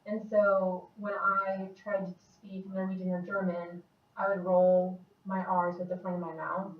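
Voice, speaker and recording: A woman's voice: on the low side, level tone, measured pace. A young woman, a university student, explaining things at length in a casual classroom group discussion and trailing off as she goes. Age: young adult. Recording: echoey room from a distant, muffled mic.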